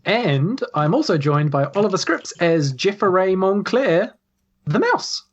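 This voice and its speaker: A man, casually hosting and recapping as game master, with halting, repeating phrasing. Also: high-pitched, sing-song, dull audio. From New Zealand. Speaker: podcast host and game master.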